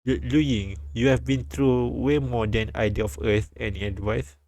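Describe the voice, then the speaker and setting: A man streaming a live read-aloud of a visual novel, halting and repeating lines as he goes. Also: mid-range voice, fairly even intonation, darkish tone, no echo.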